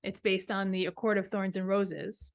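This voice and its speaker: A woman's voice: mid-range voice, flat delivery, moderate pace, vocal fry. A woman co-hosting a craft podcast, talking casually in a show-and-tell style and rambling as she thinks aloud. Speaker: knitting podcast co-host.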